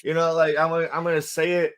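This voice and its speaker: A man's voice: fairly high pitch, completely flat delivery, fast, fairly bright tone, clean tone. A man with a Midwestern accent from Illinois, telling a story in casual podcast chat, rambling and full of fillers. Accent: Midwestern American.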